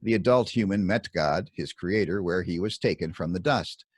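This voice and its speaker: A man reading his own scripted Bible commentary as narration, in measured, deliberate short phrases. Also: sits low, breathy edge, no room sound, clean studio sound.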